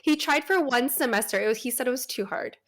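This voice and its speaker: A woman's voice: high-pitched, fast talker, a bit bright. A woman in her thirties with an American accent, talking casually as an interview guest, chatty with frequent laughs and restarts. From Taiwan, raised in the United States. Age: 30s. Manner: chatty, laughs often, many fillers and restarts.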